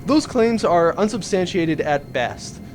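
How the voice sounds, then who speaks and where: A man's voice: high-pitched, very animated, unhurried, music in the background. A man playing a self-effacing space accountant, dry and hesitant, in a comic sci-fi audio drama. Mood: evasive, dry.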